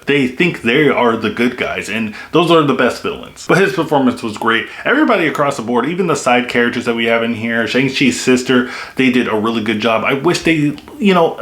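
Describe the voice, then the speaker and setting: A man's voice: medium pitch, fairly bright tone. A young man giving a casual, enthusiastic film review, with lots of "like" and "you know" fillers. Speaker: movie reviewer. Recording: echoey room.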